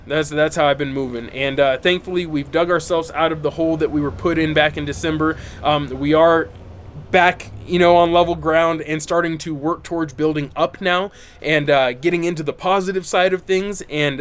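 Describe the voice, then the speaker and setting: A man's voice: on the high side, a little hiss or hum. Stuffy-sounding man talking to camera outdoors. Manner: earnest, repeats "right?" and "okay?" often. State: stuffy, congested from burnt lungs. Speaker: YouTube channel host. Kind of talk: casual talk to camera. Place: outdoors in bright sun.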